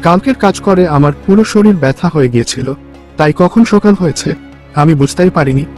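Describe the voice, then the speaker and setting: A man's voice: a little high, animated, brisk, darkish tone, soft background music, natural voice. A man playing an earnest, respectful, poor young day labourer in a cartoon story. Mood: tired, apologetic. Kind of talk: cartoon dialogue.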